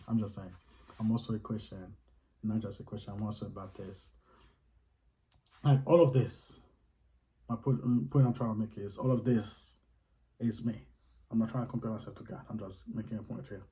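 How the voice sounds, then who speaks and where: A man's voice: fairly low pitch, fairly even intonation, a little fast, pauses often, warm tone, low-quality recording. A man with a Haitian accent, earnestly explaining his faith in casual talk, restating his points for emphasis.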